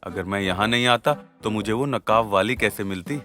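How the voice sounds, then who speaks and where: A man's voice: lowish voice, normal speed, bright, forceful tone, faint music behind. A man playing a lovelorn, grumbling village secretary in a comic cartoon, chatty and theatrical. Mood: wistful.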